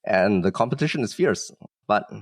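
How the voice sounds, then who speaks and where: A man's voice: fairly low pitch, expressive, moderate pace, dry recording, studio quality. A man from Singapore explaining things casually and modestly as a podcast guest, with frequent conversational filler words. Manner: modest, explanatory, with frequent "like" and "you know" hedging. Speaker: startup founder, guest.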